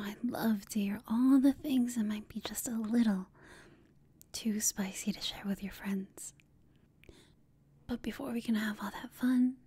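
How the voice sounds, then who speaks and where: A woman playing a confident, teasing female villain in an intimate ASMR roleplay, speaking in a smug, seductive, breathy whisper. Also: mid-range voice, warm tone. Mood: flirtatious.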